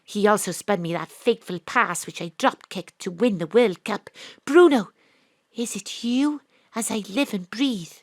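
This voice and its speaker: A woman's voice: mid-range voice, unhurried, darkish tone, no echo, studio quality. A woman voicing a brash private eye who was once a Welsh rugby star, narrating her own noir story with a wry tone. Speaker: narrator and detective. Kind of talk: noir audio drama narration. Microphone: home studio mic.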